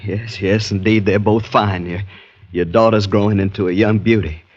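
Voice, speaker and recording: An actor playing an evasive, haughty former slave owner on the run in an old radio drama, speaking in a halting, guarded way. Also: male voice, lowish voice, fairly even intonation, a little mellow, lightly acted. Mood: uneasy, guilty. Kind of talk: 1940s-50s radio drama acting. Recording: dull vintage radio recording.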